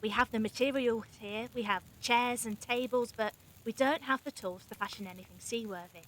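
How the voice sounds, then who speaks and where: Actress as scientific-minded woman, high pitch, sensible, crisp manner, faint noisy ambience, audio drama. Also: fairly even intonation, moderate pace, a bit bright, close to an everyday voice. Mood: questioning. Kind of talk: fan audio drama acting.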